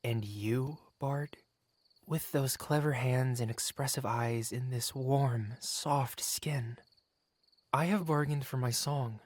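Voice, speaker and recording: A suave dragon in human guise , acted by a man, rich and possessive. He speaks in a mid-pitched voice with courtly, self-satisfied eloquence, as in an intimate ASMR roleplay. The sound is close and very dry. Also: slow, darkish tone, dry recording. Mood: seductive. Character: wealthy possessive dragon merchant in human form, suave, covetous. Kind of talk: ASMR roleplay monologue, theatrical storytelling.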